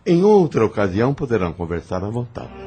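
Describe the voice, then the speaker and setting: A man playing a calm, caring spirit guide in a Brazilian audio novel, gentle and courteous. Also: lowish voice, quick pace, music in the background.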